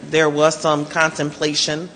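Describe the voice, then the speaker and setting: A man's voice: a little high, monotone, a little slow, sharp tone, big-room sound, a little hiss or hum. A man on the planning staff explaining permitted uses at a public meeting.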